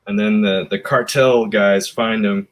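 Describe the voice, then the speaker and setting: A man casually recounting a comic's plot, halting with fillers and restarts. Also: lowish voice, steady tone. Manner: rambling, halting storytelling. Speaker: comic artist guest. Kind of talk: casual chat.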